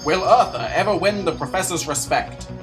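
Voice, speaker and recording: A man's voice: sits high, lively intonation, moderate pace. A man plays the dramatic narrator of an adventure serial. He announces in a melodramatic cliffhanger style. Music plays behind him. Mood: suspenseful.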